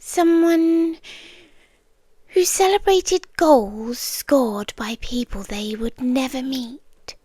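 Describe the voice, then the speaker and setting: A British woman voicing an ancient, otherworldly, obsessively devoted female being in a hushed, deliberate ASMR roleplay, half whispering close to the microphone. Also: high voice, no echo, exaggerated voice. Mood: tender, intent. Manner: slow, deliberate, hushed, with dramatic pauses.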